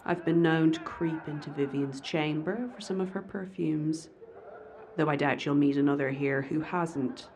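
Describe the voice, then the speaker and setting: A woman's voice: sits low, a little mellow, heavily acted. A woman voicing a cold, cruel woman who hisses scornfully out of the darkness. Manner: hissing, scornful. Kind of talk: audio drama acting.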